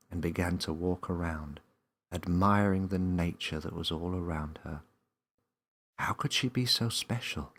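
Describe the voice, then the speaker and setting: Man, children's storyteller, deep voice, unhurried pace, scripted narration. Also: bright, forceful tone, slightly rough.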